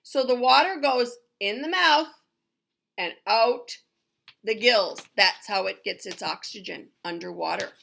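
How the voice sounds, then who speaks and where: Middle-aged woman, primary teacher reading aloud; bright voice with a creaky edge, clear emphatic explaining. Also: on the high side, animated, measured pace. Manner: emphatic, explanatory, with questions to listeners. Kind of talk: read-aloud lesson for young children.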